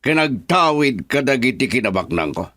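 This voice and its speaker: A man's voice: mid-range voice, big pitch swings, normal speed, fairly bright tone, no room sound, clean studio sound. Haughty rich father, swooping delivery. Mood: haughty, pressing. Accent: Filipino. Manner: stern, condescending, emphatic. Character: wealthy, haughty, disapproving father of a young woman. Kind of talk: radio drama acting.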